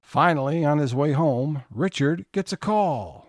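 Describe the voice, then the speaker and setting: A man's voice: on the high side, expressive, measured pace, slight background noise. A man narrating scene changes for a scripted audio comedy.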